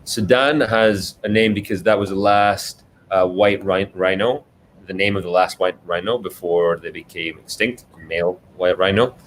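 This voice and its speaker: Low-voiced man from Scotland in conversation. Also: a bit bright. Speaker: children's book author, guest. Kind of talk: live Q&A, conversational answers. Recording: clear background noise, online live stream.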